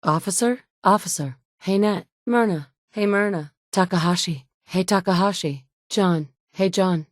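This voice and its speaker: A woman's voice: on the low side, dry recording, studio quality, close to an everyday voice. An actress voicing a tough, blunt woman survivor, the player character in a game, who is curt and assertive. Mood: firm. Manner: curt and assertive, quick to snap back. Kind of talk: game voice lines.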